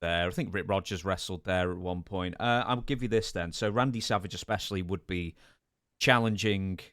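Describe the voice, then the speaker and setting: A man's voice: low voice, normal speed, fairly bright tone, dry recording. A man hosting a podcast, putting questions to his guest in a casual interview style.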